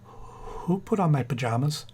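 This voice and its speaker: A man acting a doting, excitable, stammering husband in a 1940s-style radio comedy. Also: fairly high pitch, measured pace, slight background noise. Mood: dazed, fretful.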